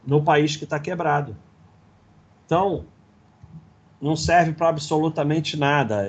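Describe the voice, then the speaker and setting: Husky blunt Brazilian man lecturing. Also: a little high. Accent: Brazilian Portuguese. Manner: blunt, sarcastic, opinionated lecturing. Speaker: host of a live investing stream. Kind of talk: casual livestream talk, reading slides.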